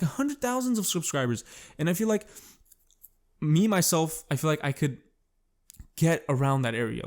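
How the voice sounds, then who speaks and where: A man's voice: mid-range voice, a little mellow. A young man hosting a solo podcast, rambling in a self-conscious, casual way and swearing often. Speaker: podcast host.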